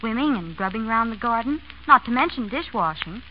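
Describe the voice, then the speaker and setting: An earnest young American woman, played by an actress chats in a scripted vintage radio ad. Her voice is fairly high. The old recording is dull and faintly hissy. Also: expressive, a little fast, lightly acted. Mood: fretting. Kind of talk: 1930s-40s radio commercial acting.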